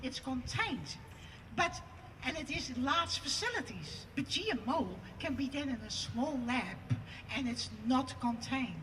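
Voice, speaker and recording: A woman with a Dutch accent giving an impassioned, declamatory protest speech outdoors at a rally, building to loud emphatic peaks. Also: high voice, very animated, a little slow. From Netherlands. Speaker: protest speaker. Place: outdoor rally on parliament steps. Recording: distant mic, loud outdoor noise.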